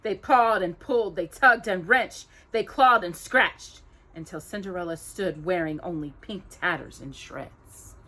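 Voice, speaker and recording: A woman hosting storytime, reading a children's story aloud with expressive, dramatic emphasis on descriptive words. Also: lowish voice, unhurried.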